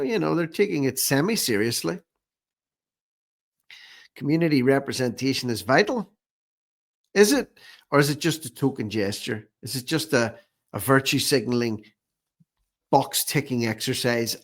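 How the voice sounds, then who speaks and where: An Irish man delivers informal commentary at a middling pitch. He sounds scornful and sarcastic, and likes to repeat his phrases to drive them home. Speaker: online commentator, host.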